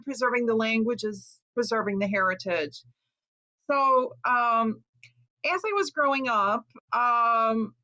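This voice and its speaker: An earnest woman from Chicago whose first language was Lithuanian gives an online lecture, reflective and hesitant at times. Her voice is bright and rather high. The audio is dull and lo-fi, as if over a video call. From Chicago, USA. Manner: earnest, reflective, with frequent ums. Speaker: author giving a talk.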